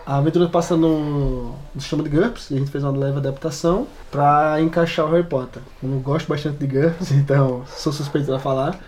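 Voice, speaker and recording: A man's voice: fairly high pitch, steady tone, brisk, few pauses, darkish tone. A man with a Brazilian Portuguese accent chatting casually as a podcast co-host and game master.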